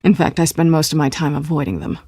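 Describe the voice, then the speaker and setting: Sly flirty thief lady for a game, lowish mellow voice, teasing but guarded, super dry sound. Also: fairly even intonation, quick pace, husky, no room sound. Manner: teasing, self-assured, guarded. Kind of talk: video game voice acting.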